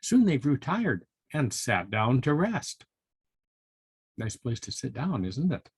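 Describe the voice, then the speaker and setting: An older man reading a story aloud to young children, playful and chatty, with expressive asides and questions to his listeners. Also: mid-range voice, slow, husky, dry recording, studio quality.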